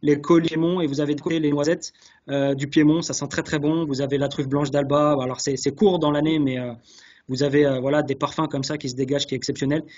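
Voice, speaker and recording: A man's voice: fairly high pitch, little pitch movement, quick pace, a little mellow. A man answering interview questions earnestly, hesitating and repeating words as he searches, on dull webinar audio. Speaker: guest, chef sommelier. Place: online video call.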